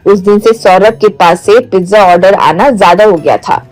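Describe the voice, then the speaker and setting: Female storyteller, scripted narration, mid-pitched, light music bed. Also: normal speed, a little mellow.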